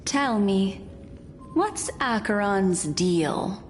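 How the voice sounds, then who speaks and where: A woman playing a composed, mysterious keeper of memories in a video game, cool, deliberate and probing. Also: medium pitch, animated, a little hiss or hum. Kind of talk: dramatic video game voice acting. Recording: long reverb, loud music behind.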